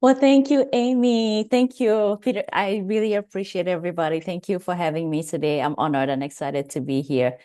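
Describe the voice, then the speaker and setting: A woman with a Cameroonian accent speaks in a low, dark, mellow voice. She gives a webinar talk over a video call, chatty and personal, with asides to her listeners. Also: steady tone, a little fast, hardly any pauses. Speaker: guest presenter, pharmacist. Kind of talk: webinar presentation.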